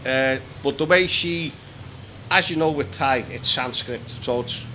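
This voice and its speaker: A man's voice: medium pitch, unhurried. Chatty British man, noisy room. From England. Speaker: interview guest, British pub owner. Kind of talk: casual conversational storytelling. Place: small decorated indoor room. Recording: noisy room with background hum.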